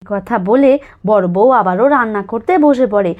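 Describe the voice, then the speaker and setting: A woman's voice: mid-range voice, moderate pace, phone-quality sound. A woman narrator reading scripted narration for a children's story.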